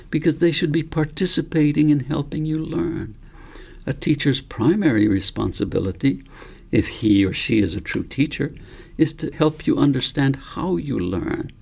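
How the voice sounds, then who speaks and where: A man voicing a wise, adamant male elephant mentor in a storybook, speaking in a firm, instructive, deliberate way. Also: mid-range voice, gravelly, a little mellow, breathy edge, voice pushed up. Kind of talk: storybook character read by the author.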